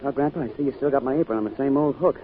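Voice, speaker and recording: An actor playing an earnest, boyish young American soldier in an old-time radio drama, hesitant and given to stammering. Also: male voice, mid-range voice, very fast, natural voice. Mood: eager. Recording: tinny vintage radio recording with music.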